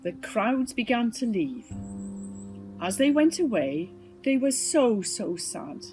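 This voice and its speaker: A woman's voice: on the high side, big pitch swings, takes it slowly, music in the background, low-quality recording. A woman reading a children's Bible story from a script. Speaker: storybook reader.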